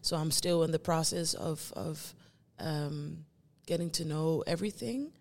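A young woman speaking slowly and thoughtfully in a fairly low voice, pausing often as she searches for words. She is a guest in a conversation. Her voice carries a long hall reverb. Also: vocal fry, a little mellow. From Netherlands. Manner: thoughtful, searching for words, many pauses and fillers. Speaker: guest performing artist. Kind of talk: reflective conversation.

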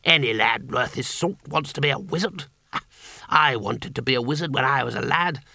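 A man's voice: mid-range voice, normal speed, creaky, sharp tone, dry recording, studio quality, full character voice. A man voicing a jovial, boastful, portly older wizard in a storybook, with a hearty, patronizing manner. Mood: jovial, indulgent. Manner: hearty, patronizing, tags questions with "eh".

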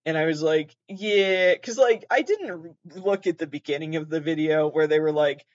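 Nasal guy podcasting, kinda high voice, rambly and sweary, dull lo-fi dry sound. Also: normal speed, no echo. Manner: rambling, self-deprecating, swears freely, laughs often. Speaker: podcast host. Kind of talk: casual rambling chat.

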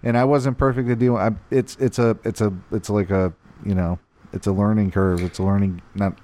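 A man co-hosting a casual podcast, talking loudly and rambling with lots of "you know" as a parent of a young daughter. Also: fairly low pitch, level tone, a little fast, no room sound. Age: young adult or middle-aged, parent of a nine-year-old. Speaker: podcast co-host. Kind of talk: casual chat.

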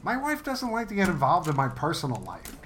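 A man's voice: a little high, wildly swooping pitch, fairly quick, fairly bright tone, a little hiss or hum. A man playing a food-obsessed, oblivious office worker in a comic audio play, casual and unbothered.

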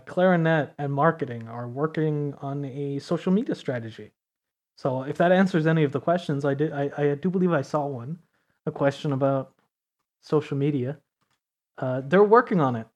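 A man's voice: on the high side, normal speed, darkish tone. A man hosting a community podcast, casually reading out news and listener questions in an upbeat way. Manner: upbeat, laughs often, reads news and questions. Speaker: podcast host.

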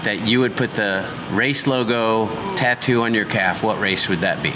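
Forty-ish guy hosting, low voice, jokes around a lot, noisy bike shop behind. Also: normal speed. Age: 40s. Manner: chatty, joking, asks loose questions. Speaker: podcast host. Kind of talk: casual podcast interview. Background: shop noise, people working on bikes.

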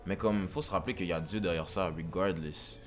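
Young man, rapid slangy talk, very low voice, podcast guest. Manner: rapid, repeats himself, slangy. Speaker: guest, rapper. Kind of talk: casual podcast chat.

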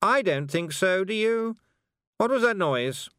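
A man putting on a haughty teenage girl witch who lords it over everyone, her voice pitched high and bright. She sneers and corrects with sharp condescension, swinging her tone up and down. The sound is close and dry. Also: expressive, a little slow, smooth, dry recording. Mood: scornful.

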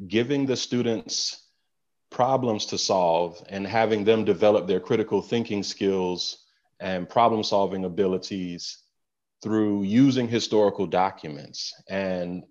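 Low-voiced teacher on a dull line. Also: male voice, unhurried, darkish tone. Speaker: guest teacher. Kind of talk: podcast guest interview. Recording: dull lo-fi sound.

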